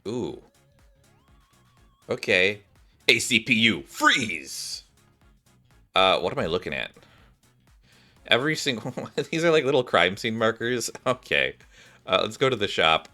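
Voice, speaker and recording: A man doing casual game-stream commentary in an animated way, thinking aloud and sometimes reading on-screen text. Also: on the low side, measured pace, pauses often. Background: game music.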